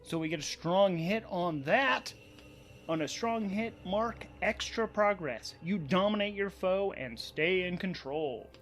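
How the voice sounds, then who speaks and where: A man's voice: high-pitched, unhurried, fairly bright tone. A man hosting and narrating a solo tabletop role-playing game, thinking aloud as he goes. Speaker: host, narrator and game master. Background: background music.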